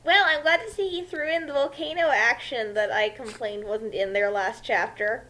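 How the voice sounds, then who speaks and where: A woman's voice: fairly high pitch, normal speed, sharp tone. A woman co-hosting a casual comic commentary in a sarcastic way. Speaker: co-host giving commentary.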